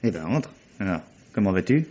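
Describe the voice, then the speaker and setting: Radio mystery villain: sardonic, scheming nephew, husky delivery, dull old-radio sound. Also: male voice, low-pitched, very animated, brisk, dark tone. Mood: guarded, dry. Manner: sardonic, coolly cutting. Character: cynical, calculating murderer nephew taunting his guilty accomplice. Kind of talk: French radio mystery drama acting. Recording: muffled old radio drama recording.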